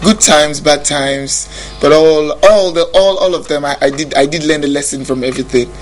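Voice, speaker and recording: Young Nigerian male musician, somewhat high voice, reflective and stop-start, faint music under. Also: moderate pace. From Nigeria (Bayelsa State). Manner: reflective, often restarts sentences, laughs easily. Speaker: musician guest. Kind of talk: casual interview talk.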